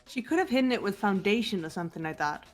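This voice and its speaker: A woman's voice: mid-range voice, expressive, quick pace, faint music behind, natural voice. Woman as cool analytical teenage girl sleuth. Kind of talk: amateur video game voice acting.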